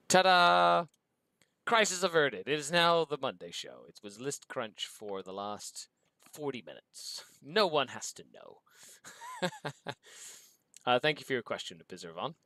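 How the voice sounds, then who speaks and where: A man's voice: medium pitch, very animated, takes it slowly, gravelly, pauses often, bright, forceful tone, dry recording. A man from Mexico with an English accent, hosting a livestream and reading out viewer questions in an upbeat way before answering them. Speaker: show host.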